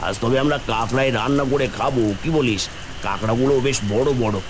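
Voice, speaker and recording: A man acts as a humble village fisherman and father in a cartoon folktale. He coaxes gently in a mid-pitched, homely voice, with rain and hiss clearly behind him. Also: fast talker, lightly acted. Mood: reassuring. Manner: coaxing, homely, expressive. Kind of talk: Bengali fairy-tale cartoon dubbing.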